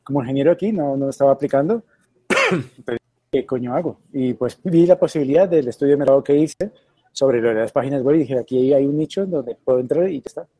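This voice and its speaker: Man in his thirties, interview guest, mellow relaxed voice, dry close sound. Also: mid-range voice, fast talker, no echo. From Colombia. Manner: relaxed, storytelling, swears casually. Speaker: guest, web designer. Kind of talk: casual interview.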